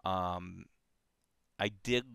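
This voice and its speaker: A man co-hosting a book club podcast, talking in a relaxed, halting way with many fillers and restarts. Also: sits low, gravelly, clear, resonant tone, no room sound, studio quality. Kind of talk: casual book discussion.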